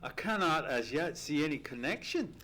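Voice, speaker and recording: An actor playing a genteel, loyal gentleman companion in an old-time radio play, formal and slightly hesitant. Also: mid-range voice, animated, moderate pace, natural voice. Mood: earnest, puzzled. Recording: live stage radio play recording.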